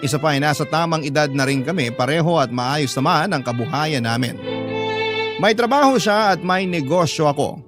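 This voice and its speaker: Man as high-pitched, earnest sentimental narrator. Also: expressive, normal speed, natural voice. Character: earnest, sentimental letter writer confiding a love story. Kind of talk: dramatic first-person radio narration. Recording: music bed under narration.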